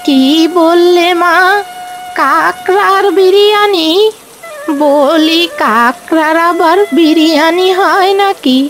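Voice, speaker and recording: A woman voicing a pleading, curious old village granny in a cartoon fairy tale, speaking in a slow, drawn-out way. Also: very high-pitched, a bit bright, soft background music, heavily acted. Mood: curious, wondering.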